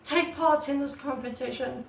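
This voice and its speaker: A woman artist with a croaky voice, talking casually and earnestly to her channel's viewers, recorded on a camera mic without a proper microphone. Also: high voice, fairly even intonation, moderate pace, distant mic, a little hiss or hum. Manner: earnest and repetitive, many restarts. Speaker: artist vlogger. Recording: echoey room, away from the mic.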